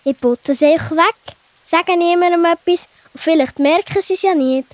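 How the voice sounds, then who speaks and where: Woman acting a young girl, very high pitch, impulsive, dry studio sound, children's story. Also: normal speed, no room sound. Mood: anxious. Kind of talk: children's audio play acting.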